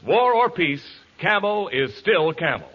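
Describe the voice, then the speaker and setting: A man delivering a 1940s radio advertising pitch as the announcer. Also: very animated, a little slow, over loud music. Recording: muffled old radio broadcast.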